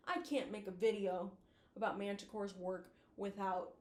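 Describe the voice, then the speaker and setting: A woman's voice: medium pitch, level tone, slow pace, roomy sound, background noise. A woman giving casual, slangy and effusive video commentary, like an online host chatting to viewers.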